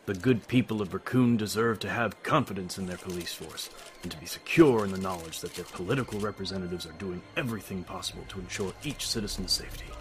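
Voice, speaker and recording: Casting: male narrator as a reassuring city mayor, low register, formal speechmaking, music underneath. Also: fast, fairly bright tone. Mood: earnest, reassuring. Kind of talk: audio drama of a novel.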